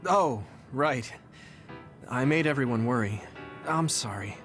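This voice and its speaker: Soft-hearted young male soldier, video game cutscene, unhurried and apologetic, music underneath. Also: medium pitch, animated. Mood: apologetic, subdued.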